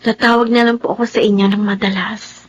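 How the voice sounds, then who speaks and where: A woman's voice: medium pitch, flat delivery, brisk, breathy edge, muffled recording, natural voice. An actress with a Filipino accent playing an earnest, anguished young daughter who defies her family, in a life-story drama. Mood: earnest, resolute. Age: young adult. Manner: emotional, pleading. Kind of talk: Tagalog radio-style life drama acting with narration.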